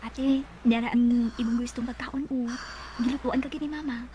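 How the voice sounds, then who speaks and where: A woman's voice: on the high side, steady tone, normal speed, muffled recording, background noise, lightly acted. A woman with a Filipino Bisaya accent playing a caring, worried younger sister who coaxes and frets in a radio drama. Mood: coaxing. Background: music.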